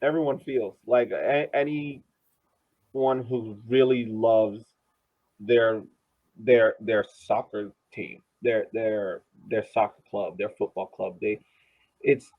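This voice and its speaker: A man co-hosting a soccer podcast in casual chat, halting and repeating words as he searches for phrasing, on dull lo-fi audio. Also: mid-range voice. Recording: dull lo-fi sound.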